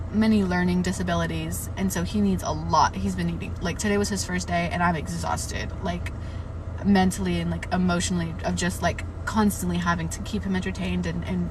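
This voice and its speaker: A young woman chatting casually on a live stream about books, frank and swearing freely, her voice a bit hoarse from overuse. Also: lowish voice, background noise. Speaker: book livestreamer.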